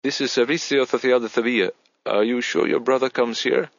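An effervescent Spanish female receptionist, as a male reader plays her, polite and chatty. She is apologetic yet businesslike, with a lifted pitch. The storybook recording sounds dull and boxy. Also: fairly even intonation, brisk, gravelly, no echo. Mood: polite, firm.

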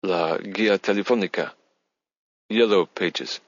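A man's voice: low voice, slow pace, no room sound, lo-fi sound. A man voicing an eager, awkward German tourist who speaks broken English in short blunt phrases with a German accent. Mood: uneasy. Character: Becker posing as bumbling German male tourist, eager and awkward.

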